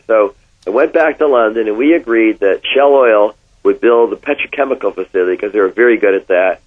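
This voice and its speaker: An older man from Connecticut with an American accent, reminiscing in an interview and telling anecdotes with emphatic repetition. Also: lowish voice. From Connecticut, United States. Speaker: interviewee, former oil executive. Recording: thin, phone-like band-limited sound.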